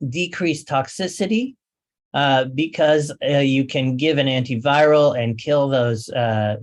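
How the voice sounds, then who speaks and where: A man with an American accent giving an online medical lecture as an oncologist, measured and technical with frequent filler sounds. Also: fairly high pitch. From USA. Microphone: remote talk, webinar audio.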